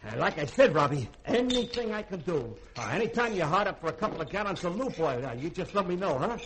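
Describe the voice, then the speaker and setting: An actor playing a folksy, shifty, bourbon-loving ship's cook who wheedles hesitantly in a 1950s radio drama. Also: male voice, sits high, big pitch swings, quick pace, slight background noise. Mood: gleeful, folksy. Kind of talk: 1950s radio comic-relief acting.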